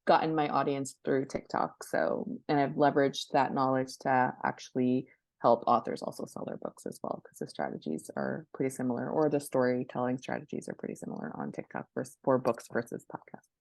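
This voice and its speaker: Low-voiced woman, close dry sound. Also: a little fast, vocal fry, a little mellow, no echo. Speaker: guest expert, book marketing professional. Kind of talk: conversational interview answers.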